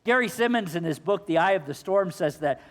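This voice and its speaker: A male minister preaching a sermon, his voice slightly hoarse, asking the congregation earnest questions. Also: high voice, lively intonation, fairly quick, a bit bright, in a large hall. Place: church sanctuary.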